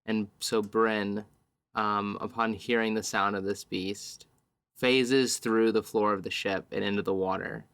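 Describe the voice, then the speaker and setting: A man playing a tabletop roleplaying game, describing scenes as he improvises them, piecing his sentences together in halting fragments. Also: fairly low pitch, level tone, fairly bright tone, smooth, dry recording.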